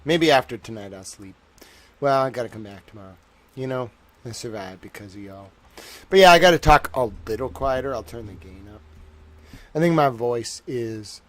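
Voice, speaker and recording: A man hosting a livestream in a loose, chatty way, his voice tired. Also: medium pitch. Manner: chatty, loose, greets chat viewers. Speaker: livestream host. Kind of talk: casual rambling livestream chat.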